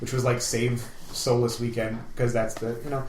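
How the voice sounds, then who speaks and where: A man's voice: mid-range voice, level tone, moderate pace. A man co-hosting a casual gaming podcast, rambling and joking with enthusiasm. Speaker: podcast co-host. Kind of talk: casual hobby podcast chat about games. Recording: roomy echo, faint background noise.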